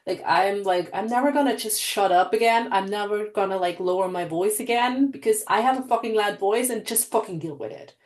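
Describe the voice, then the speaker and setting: A woman's voice: medium pitch, a little fast, hardly any pauses, darkish tone. A woman hosting a podcast, outspoken and enthusiastic, swearing casually. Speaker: podcast host. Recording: roomy echoey room.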